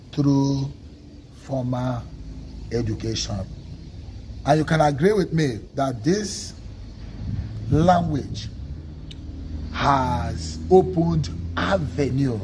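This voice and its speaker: Slow, deliberate Nigerian man teaching. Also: mid-range voice, darkish tone, slight background noise, poor audio. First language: Igbo. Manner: measured, stresses single words, asks listeners "okay?". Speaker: speaker on a gospel livestream.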